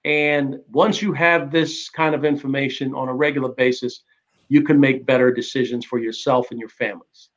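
A man's voice: mid-range voice, fairly even intonation. Man panelist with dull webcam sound. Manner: measured, explanatory, adds "right?" to points. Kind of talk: panel discussion on a live video call. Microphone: video call webcam.

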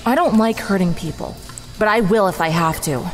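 A woman's voice: medium pitch, moderate pace, natural voice. Woman acting a lonely snake woman in echoing hall. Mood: curious. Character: female snake woman, lonely, childlike, menacing captor. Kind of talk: dramatized comic audio drama. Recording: reverberant hall, music behind.

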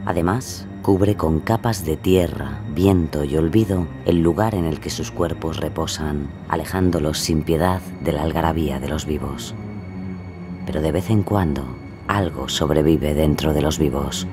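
A male actor playing a knowing, sardonic narrator in an audio drama, measured and dryly ironic. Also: extremely low pitch. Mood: solemn. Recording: music behind the voice.

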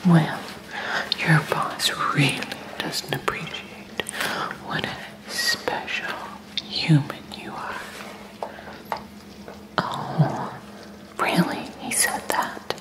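Doting alien housewife, played by a woman, for ASMR: lowish hushed whisper, coddling and coaxing. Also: steady tone, low-quality recording. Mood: sympathetic, doting. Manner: slow, coaxing, babying. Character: doting alien housewife girlfriend, sweetly coddling, 1950s tradwife style. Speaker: ASMR performer.

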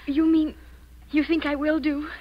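A woman's voice: sits high, level tone, a little slow. A woman playing a timid, earnest job seeker in her twenties, hesitant and polite, in 1940s radio drama. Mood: timid, hopeful. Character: timid, earnest young woman of twenty-five seeking work. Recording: dull 1940s radio recording.

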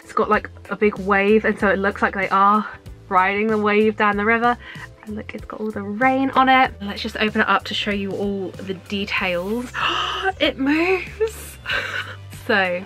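Girl in her twenties unboxing stuff, bright chirpy voice, gets squealy excited, soft music behind. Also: medium pitch. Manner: chatty and bubbly, with excited squeals and laughs. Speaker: vlogger. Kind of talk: casual unboxing chat. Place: small indoor room with collectible shelves. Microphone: camera at desk distance.

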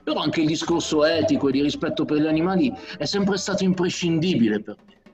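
An actor playing a middle-aged smooth talker who puts on airs to impress, in a comic radio soap. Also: male voice, a little high, fast talker, soft background music, natural voice. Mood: ingratiating, self-important. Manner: hesitant, pompous wordy phrasing. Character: smooth-talking man feigning vegetarian sympathy to impress. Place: home kitchen. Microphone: video call.

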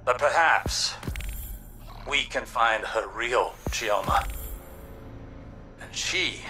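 An actor playing an earnest, philosophical ally who gives grave, poetic counsel in dramatic scripted game dialogue. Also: male voice, medium pitch, lively intonation, very slow, sharp tone, faint music behind, full character voice. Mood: earnest, mournful.

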